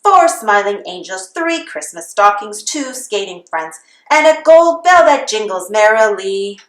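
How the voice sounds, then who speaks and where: A woman's voice: mid-range voice, very animated, unhurried, fairly bright tone, reverberant. A woman reading a children's storybook aloud in the sing-song manner of a Christmas carol. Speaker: storybook reader.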